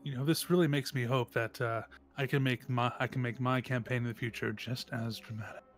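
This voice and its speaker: A man's voice: mid-range voice, steady tone, brisk, faint music behind. Man co-hosting a casual gaming livestream. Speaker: co-host of a tabletop gaming livestream.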